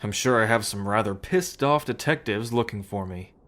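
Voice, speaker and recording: A man's voice: on the low side, moderate pace, fairly bright tone, lightly acted. A man acting a remorseful, affectionate brother in an ASMR roleplay, with a hesitant, heartfelt delivery. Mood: determined, affectionate.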